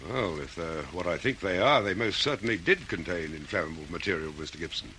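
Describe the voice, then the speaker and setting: Deep-voiced, fussy factory manager. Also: male voice, lively intonation, fairly quick, pushed and bright, low-quality recording. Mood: fussy, indignant. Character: flustered, indignant male factory manager, fussy and polite. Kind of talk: 1940s radio drama acting. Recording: vintage radio recording, faint noise.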